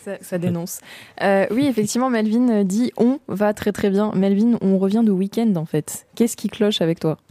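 A woman chatting as a guest on a radio show, soft-spoken and playful. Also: mid-range voice, normal speed, no room sound. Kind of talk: casual radio chat. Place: radio studio.

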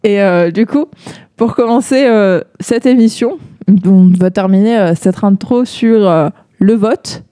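A woman's voice: mid-range voice, unhurried, warm tone, no room sound, clean studio sound. A young woman from Alsace co-hosting a radio show, talking casually with many hesitations and easy laughs. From Alsace, France. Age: young adult. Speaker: radio co-host.

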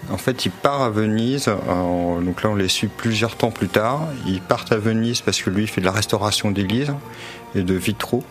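A man's voice: on the low side, moderate pace, soft background music. A man hosting a casual discussion about films. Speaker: film talk show host.

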